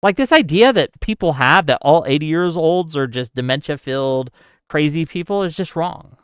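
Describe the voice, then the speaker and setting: A man in his forties with a smooth, clear voice that sits a little high for a man. He chats casually on a livestream, thinking aloud and answering his viewers' questions. The sound is close and dry, with no room echo. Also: lively intonation, moderate pace. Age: 40s. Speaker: livestream host. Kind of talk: casual political chat with live chat.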